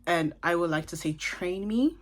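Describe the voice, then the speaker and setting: A woman's voice: lowish voice, moderate pace. A woman in her twenties from Dublin, chatting casually and thoughtfully about a book, with side remarks. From Ireland (Dublin). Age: 20s. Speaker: book vlogger. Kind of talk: casual book review talk.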